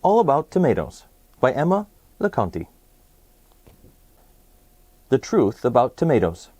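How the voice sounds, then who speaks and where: A man with very lively, varied intonation reads an educational book aloud for children. His voice is mellow and mid-pitched, and his phrasing is simple and clear. The sound is close and dry, in the style of a kids' story host. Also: a little slow, no echo. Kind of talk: educational picture book read-aloud for kids.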